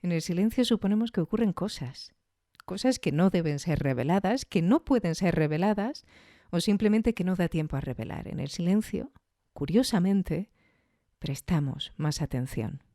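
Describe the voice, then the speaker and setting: A woman podcast host talks reflectively in a dark, mellow voice. She thinks her way through short, halting phrases and checks in with small tag questions. The sound is dry, with no room on it. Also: on the low side, expressive, no echo. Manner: thoughtful, halting phrases with rhetorical tags. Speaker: host of a podcast on audio fiction. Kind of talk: reflective spoken review.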